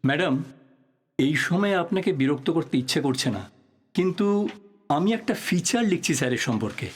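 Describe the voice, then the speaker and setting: An actor playing an earnest, persistent journalist in an audio drama, speaking politely and probingly in a husky voice. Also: male voice, fairly high pitch, animated, brisk. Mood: polite, reflective. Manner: polite, probing, musing to himself. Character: earnest, persistent male journalist probing his old teacher's death. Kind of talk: Bengali audio drama acting.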